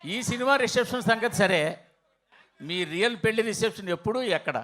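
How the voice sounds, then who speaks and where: A man's voice: sits high, fairly quick, a bit bright. A man puts questions as a journalist at a press event. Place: press event venue with room noise.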